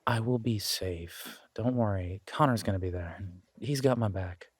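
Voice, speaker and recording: Male actor as affectionate werewolf biker, low-pitched, rambling, bashful, casual, roleplay audio, very dry recording. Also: normal speed, breathy edge, no room sound. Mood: sheepish, affectionate. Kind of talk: intimate roleplay audio drama, one-sided conversation.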